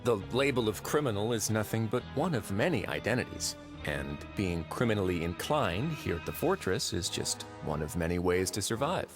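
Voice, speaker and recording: Low-voiced, composed prison warden. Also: male voice, big pitch swings. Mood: composed, wry. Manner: measured, unhurried, politely authoritative. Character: composed, shrewd male prison warden and duke. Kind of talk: video game voice acting. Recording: music playing behind.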